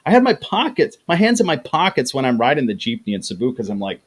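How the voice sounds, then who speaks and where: A man's voice: medium pitch, huge pitch swings, fast, slightly rough. A middle-aged man chatting casually on a livestream, often repeating words as he talks. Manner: chatty, repeats words, acts out quoted voices. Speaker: livestream host reading chat.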